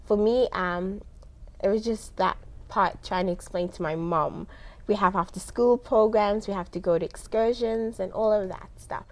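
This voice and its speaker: A woman's voice: mid-range voice, normal speed. A young woman who grew up in South Africa with Somali as her first language. She speaks as an interview guest in a conversational way, explaining things and often chuckling. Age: young adult.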